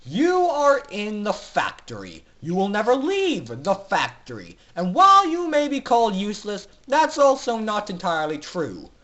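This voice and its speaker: A man voicing a gloating, theatrical villain pony who announces to a crowd in loud, clear, grandiose oratory for a story reading. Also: high-pitched, wildly swooping pitch, normal speed, few pauses, pitched above the performer's own voice, full character voice. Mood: gleeful, grandiose. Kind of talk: dramatic fan fiction reading.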